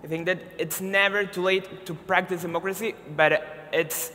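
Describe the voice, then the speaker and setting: A man's voice: sits high, fairly even intonation, normal speed, bright, forceful tone. A man, speaking hesitantly as a student activist on a panel. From Venezuela. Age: 20s. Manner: searching for words, hesitant. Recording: reverberant hall with faint noise.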